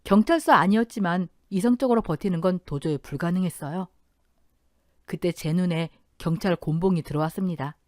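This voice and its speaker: A woman's voice: on the low side, brisk, a little mellow, dry recording, clean studio sound. A woman in her thirties reading a first-person family revenge story as a scripted narration, telling it in a dramatic, emotional way.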